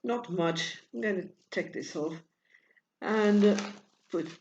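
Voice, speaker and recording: Portuguese woman, non-native speaker, very slow and halting tutorial host, muffled home recording. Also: fairly low pitch, darkish tone. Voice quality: many pauses and fillers, searching for words. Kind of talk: hesitant tutorial explanation in a second language.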